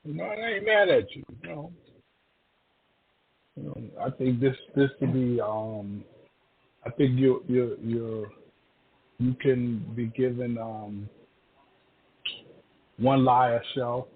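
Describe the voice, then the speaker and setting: Husky man on a phone line. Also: mid-range voice, slow, long pauses. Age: around 50. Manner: folksy, teasing, colloquial. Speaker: podcast co-host. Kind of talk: casual banter. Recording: narrow phone-line sound.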